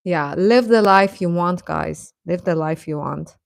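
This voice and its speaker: A woman hosting a casual livestream, chatting to viewers in a gentle, encouraging, affectionate way. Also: on the low side, a little slow, creaky, no room sound, studio quality. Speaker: livestream host.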